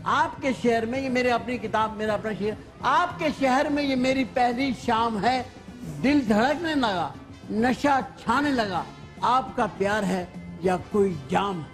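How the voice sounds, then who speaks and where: Joking high-voiced man hosting a show. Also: unhurried, a bit bright, soft background music. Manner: joking, teasing. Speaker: host. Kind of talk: comic talk show banter.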